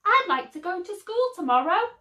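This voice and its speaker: A woman voicing a clever baby boy genius in a storybook, high and childlike. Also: sing-song, normal speed, pushed and bright, heavily acted. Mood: eager. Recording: roomy echo.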